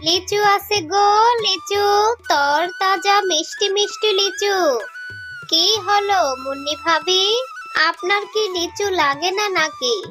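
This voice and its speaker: A woman acts out a hard-working fox mother in a cartoon. Her voice is high and expressive, with a plaintive, scolding edge. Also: takes it slowly, over music. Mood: calling, chatty. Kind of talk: children's cartoon voice acting.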